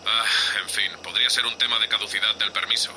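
A man playing an exasperated, sardonic spaceship captain in an audio drama, gruff and scolding. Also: on the low side, steady tone, quick pace, sharp tone, a little hiss or hum, rough recording. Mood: serious, weary. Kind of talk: science fiction audio drama acting.

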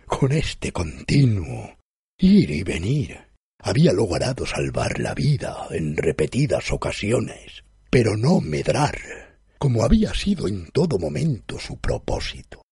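A man reads a literary story as a first-person narrator. His voice is very husky and slow, with lively swings of pitch and a formal, theatrical delivery. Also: no echo, studio quality. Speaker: narrator, reader.

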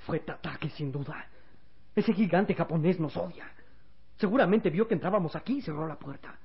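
A man playing an anxious, wry young boy companion in a vintage radio serial, with a husky, pleading voice. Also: fairly high pitch, moderate pace, close to an everyday voice. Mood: worried. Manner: worried, pleading, questioning. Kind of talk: vintage Spanish-language radio serial acting. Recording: dull, lo-fi old radio serial recording.

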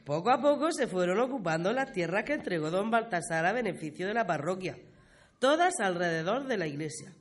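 A man's voice: high voice, very animated, a little fast. A man playing a patient local villager in a radio play, explaining things colloquially. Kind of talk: conversational radio play acting.

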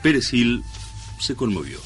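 A man giving a measured, mock-solemn scripted narration for a radio serial. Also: mid-range voice, very animated, a bit bright, soft background music.

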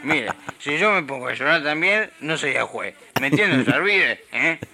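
An actor playing a bumbling, tipsy, chummy judge in an amateur comic radio play, rambling, blustering and easily distracted. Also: male voice, fairly high pitch, lively intonation, normal speed, crowd noise. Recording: amateur radio play with people chattering over it.

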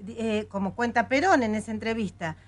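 A woman with an Argentine accent hosts a studio interview. Her voice sits slightly high, and she asks probing questions with thoughtful pauses. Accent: Argentine Spanish. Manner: probing, thoughtful, hesitant fillers. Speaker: host.

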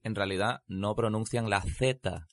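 A man's voice: fairly low pitch, measured pace, no echo, clean studio sound. A man chatting casually and emphatically as a radio panelist. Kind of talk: casual chat.

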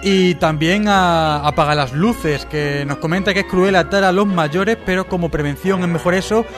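A man's voice: high voice, normal speed, music in the background. A man from Spain with a Spanish accent giving a casual, jokey radio review, partly read out and sometimes stumbling. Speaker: radio show contributor reviewing TV series.

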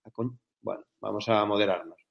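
A man's voice: lowish voice, steady tone, a little fast, creaky, dull audio. A man from Barcelona with a Barcelona Spanish accent, talking bluntly and colloquially as an interview guest, with some swearing. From Barcelona, Spain. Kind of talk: casual, opinionated interview talk.

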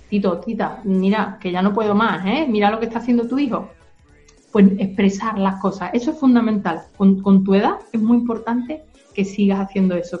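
An adult woman gently explains ideas to a child, using simple comparisons and reassurance. Her voice has a middle pitch. The sound is slightly dull, with soft music faintly behind.

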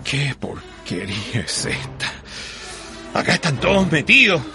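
Radio play role: indignant Chilean father, gravelly, fairly high voice, loud music underneath. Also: sing-song, a little slow, full character voice. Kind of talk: radio drama acting.

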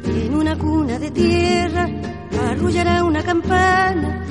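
A woman's voice: extremely high pitch, a little slow. A woman performing as a solemn folk singer, singing mournful verse. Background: loud music.

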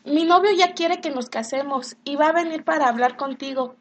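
A woman's voice: sits high, moderate pace. A woman playing a bashful, affectionate young daughter in love in a comic radio play. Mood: shy, excited.

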